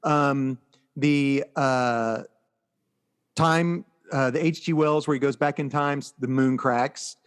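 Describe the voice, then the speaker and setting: A man's voice: mid-range voice, steady tone, takes it slowly, clear, resonant tone. Podcast co-host: middle-aged American man, casual, jokey, conversational delivery. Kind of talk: casual chat about movies and pop culture.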